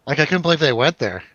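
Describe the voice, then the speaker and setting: Bright-voiced man chatting about films. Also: on the high side, no room sound, muffled recording. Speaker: film review show host. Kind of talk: casual film discussion with friends.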